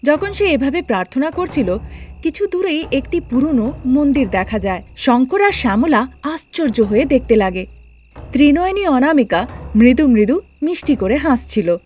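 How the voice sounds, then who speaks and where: A woman's voice: high voice, expressive, moderate pace, a little mellow, slight background noise. A woman narrating a scripted cartoon story as a storyteller. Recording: music bed under the voice.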